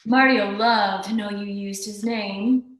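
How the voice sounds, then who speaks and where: A woman playing a tough, sharp-tongued femme fatale in an old-time radio detective play, curt and sardonic. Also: medium pitch, fairly even intonation, slow, a little hiss or hum, natural voice. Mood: cool, suspicious. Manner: curt, hard-boiled, sardonic. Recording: echoey room sound.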